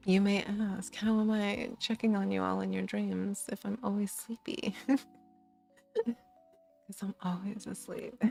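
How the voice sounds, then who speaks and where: Sleepy raspy woman streamer. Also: a little mellow. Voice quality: slight rasp, low voice. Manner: sleepy, giggly, rambling with restarts. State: tired. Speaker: VTuber streamer. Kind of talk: casual late-night stream chat.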